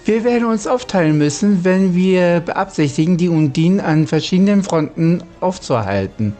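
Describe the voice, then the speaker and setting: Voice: mid-range voice, lively intonation, moderate pace, warm tone, faint music behind. A speaker delivering scripted lines as a starship commander in a formal, military manner.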